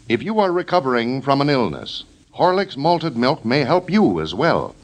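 A man announces in classic 1930s American radio style. His pitch is mid-range but swings very widely, and he reads his script formally, with strong emphasis. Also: big pitch swings, normal speed. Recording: 1930s radio broadcast recording.

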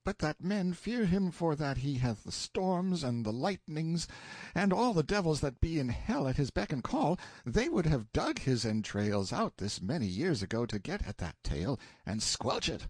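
A young medieval page boy, acted by a man narrating an audiobook, weary and mocking. He speaks in a raised pitch with very lively swings, sighing and complaining theatrically in old-fashioned phrasing. The sound is close and dry. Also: moderate pace, no room sound. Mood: weary exasperation. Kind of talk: audiobook character voice.

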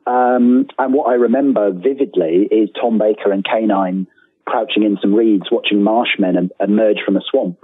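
A British man in his forties talks casually as an interview guest, with a husky edge to his voice. He rambles a little, and the call sounds narrow and phone-like, very dry. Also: fairly low pitch, moderate pace, no echo. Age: 40s. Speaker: guest author. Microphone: remote call.

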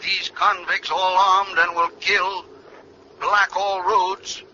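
Piercing, high-pitched police dispatcher. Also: male voice, little pitch movement, slow pace, exaggerated voice. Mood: urgent, commanding. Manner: clipped, repetitive, forceful announcing. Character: urgent male police radio dispatcher. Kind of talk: 1940s radio drama emergency bulletin. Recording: dull, hissy old radio recording.

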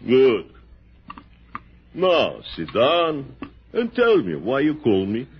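An actor playing a courteous Russian film director in a radio drama, with a Russian accent and slow, deliberate English. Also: male voice, mid-range voice, huge pitch swings, a little hiss or hum. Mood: courteous, relaxed. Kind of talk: 1960s radio drama acting. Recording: muffled old radio broadcast.

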